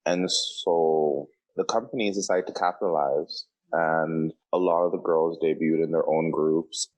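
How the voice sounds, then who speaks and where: A man's voice: very deep voice, fairly even intonation, a little slow, a little mellow, poor audio. A man co-hosting a podcast in a casual, opinionated way, with campy, slangy talk. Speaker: podcast co-host.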